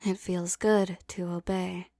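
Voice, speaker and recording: A woman speaks slowly and softly in a commanding, teasing tone, her voice carrying a gravelly fry. The recording is close and dry, with no room sound at all. Her words come unhurried and deliberate. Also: fairly low pitch, level tone, no echo. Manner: slow, soft, commanding and teasing. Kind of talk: scripted hypnosis roleplay audio.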